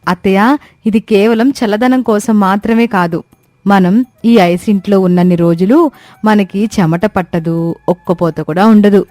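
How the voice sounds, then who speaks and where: A woman's voice: mid-range voice, measured pace, a little mellow, no room sound, soft background music, natural voice. A woman voicing a clever, composed young daughter-in-law in a cartoon story, explaining things in a reassuring way. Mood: explanatory. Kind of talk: Telugu cartoon story acting.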